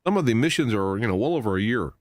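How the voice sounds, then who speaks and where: A man hosting a live talk show, rambling in a halting way as he wanders from point to point. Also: fairly low pitch, animated, no room sound, clean studio sound. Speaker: radio show host.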